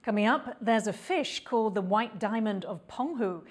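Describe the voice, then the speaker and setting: A woman anchoring the news, reading a script in a steady, even way. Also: medium pitch, moderate pace, slight background noise.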